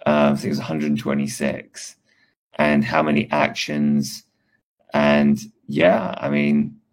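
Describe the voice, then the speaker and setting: An English man with a croaky voice, talking in a casual group voice chat as a Reddit moderator and stumbling over restarts. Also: a bass voice, monotone. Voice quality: croaky, gravelly voice. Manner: halting, restarts often.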